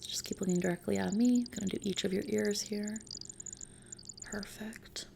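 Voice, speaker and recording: A woman playing a friendly, reassuring technician at a futuristic customization service in a soft-spoken, casual ASMR roleplay. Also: medium pitch, fairly even intonation, noisy background. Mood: gentle, reassuring. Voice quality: soft, creaky. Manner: gentle, reassuring, casual, unhurried.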